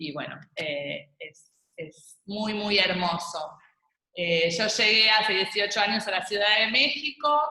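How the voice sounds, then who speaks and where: A woman's voice: medium pitch, bright, forceful tone, roomy sound, low-quality recording. A woman, chatting on a video call as an interview guest, easygoing and full of small hesitations. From Argentina. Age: 40s. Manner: chatty, laughs easily, many small hesitations. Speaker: guest, Montessori school founder.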